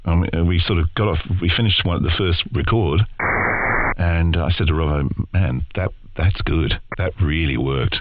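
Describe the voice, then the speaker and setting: A man's voice: sits low, normal speed. An Australian man talking casually in an interview, telling things in a rambling, informal way. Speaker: interview guest, podcast co-host in voiceover and audio. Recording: dull lo-fi radio audio, loud noise, clicks.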